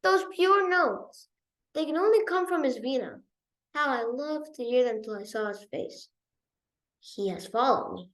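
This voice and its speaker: A woman's voice: high-pitched, lively intonation, a little slow. A woman voicing a proud, vain young princess with an indignant, emphatic manner in a storybook reading. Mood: wistful, troubled.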